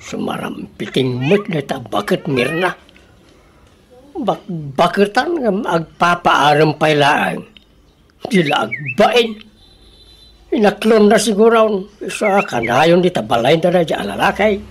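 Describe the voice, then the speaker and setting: Casting: actress as crabby old village elder, Ilocano accent, lowish voice, grumbling comic radio delivery, faint noise. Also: female voice, big pitch swings, unhurried. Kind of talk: comedic radio drama acting.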